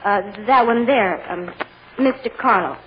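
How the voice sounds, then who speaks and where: An actress plays a working-class chambermaid in an old radio courtroom drama. She is plain-spoken and fussy, hesitating as she answers literally in a medium-pitched voice. The recording is dull, with faint noise behind her. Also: measured pace, natural voice. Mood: earnest, hesitant. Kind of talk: 1940s radio drama acting.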